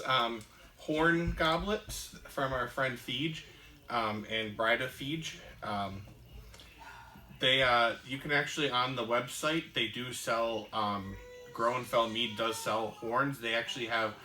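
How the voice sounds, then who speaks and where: A man's voice: medium pitch, measured pace, bright, forceful tone. A man co-hosting a casual podcast, telling a long story with many "um"s and "uh"s. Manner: rambling storyteller with many fillers. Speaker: podcast co-host. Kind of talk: casual chat.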